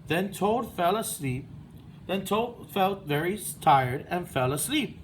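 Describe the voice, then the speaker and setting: A man's voice: high-pitched, animated, slow pace, slight background noise. A man speaking as a first-grade teacher, narrating a read-aloud for young children.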